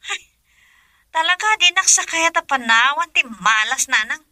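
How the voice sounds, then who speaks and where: A woman's voice: sits high, lively intonation, normal speed, bright, forceful tone, dry recording, studio quality, exaggerated voice. A woman with an Ilocano accent playing an ill young wife who hides from her husband in a radio drama, subdued and evasive.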